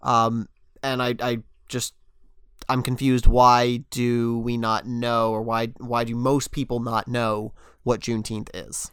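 A man's voice: medium pitch, completely flat delivery, slow, fairly bright tone, smooth, no echo, clean studio sound. A young adult man co-hosting a casual podcast, a little sick, talking things through earnestly with frequent restarts. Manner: earnest, thinking aloud with restarts. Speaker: podcast host.

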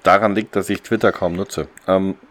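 A man with a lowish voice is hosting a casual podcast. He speaks off the cuff, with hesitations as he presents. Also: steady tone, moderate pace, fairly bright tone. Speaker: podcast host. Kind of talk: casual unscripted podcast chat.

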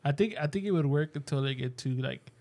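High-voiced young guy joking around. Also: fast, mellow. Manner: joking, slangy, says dude and bro. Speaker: podcast co-host. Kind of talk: casual banter among friends.

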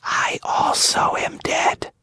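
Guy doing a spooky dead girl, barely whispering, slow and faint. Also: pushed and bright. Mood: ghostly. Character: dead pale girl with azure hair, faint.

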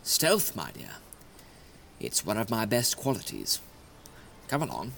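A man's voice: fairly low pitch, wildly swooping pitch, unhurried, gravelly, fairly bright tone, a little hiss or hum, exaggerated voice. A man playing a lordly, wry scientist hero and dandy in an audio drama. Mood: breezy, self-assured.

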